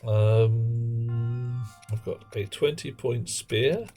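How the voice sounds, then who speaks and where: A man's voice: medium pitch, extremely slow, warm tone. A man streaming a game live, chatting wryly with viewers in a dry, muttering way. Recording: game stream with background music.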